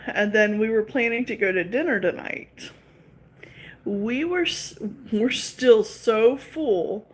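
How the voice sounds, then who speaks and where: A woman with a Southern accent, casually chatting with her viewers on a livestream in a rambling way, full of fillers. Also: medium pitch. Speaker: livestream host.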